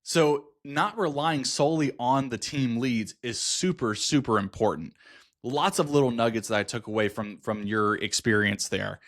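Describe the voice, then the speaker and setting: A man's voice: medium pitch, normal speed, fairly bright tone. A man hosting an interview podcast, encouraging and talking through his points at length, with frequent restarts. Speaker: podcast host.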